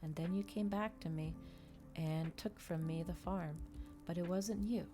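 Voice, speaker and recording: A woman's voice: fairly low pitch, fairly even intonation, a little slow, over music, close to an everyday voice. A woman voicing a frail, sorrowful old father in a storybook reading, murmuring and plaintive. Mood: sorrowful, regretful.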